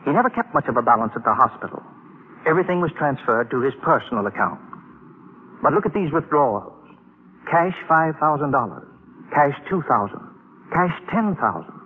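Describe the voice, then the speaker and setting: An actor playing a careful, courteous financial advisor in an old-time radio drama, formal and concerned. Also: male voice, fairly high pitch, sing-song, moderate pace, pauses often. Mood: concerned, puzzled. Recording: noisy, tinny old radio recording.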